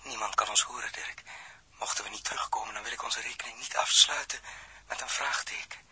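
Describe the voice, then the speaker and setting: An actor playing a grave, brooding stranded astronaut in a radio drama, speaking haltingly and intensely in a husky, breathy voice. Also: male voice, on the low side, fairly even intonation, pushed and bright, in a whisper, full character voice. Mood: hushed confession. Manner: halting, intense, pausing between phrases. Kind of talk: 1960s radio drama acting.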